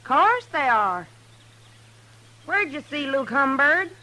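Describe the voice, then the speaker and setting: Backwoods ozark woman, old radio western, blunt n stubborn, high bright voice. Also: sing-song, takes it slowly, exaggerated voice. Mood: folksy, indignant. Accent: American hillbilly, Ozark. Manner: rustic, blunt, folksy. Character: stubborn, worried hillbilly woman of a feuding family. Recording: old radio drama recording.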